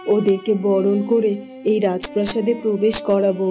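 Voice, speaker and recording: A woman's voice: medium pitch, little pitch movement, moderate pace, over music, lightly acted. A woman voicing a hopeful, devoted queen and mother in a cartoon drama. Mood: hopeful, insistent. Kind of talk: dramatized cartoon story dialogue.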